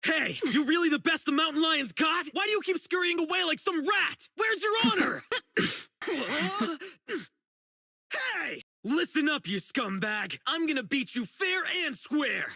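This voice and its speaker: A man's voice: very high-pitched, lively intonation, unhurried, vocal fry, sharp tone, no room sound, studio quality, heavily acted. An actor playing an earnest, righteous young male public security officer in a video game, speaking emphatically. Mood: angry, defiant. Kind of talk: video game character voice acting.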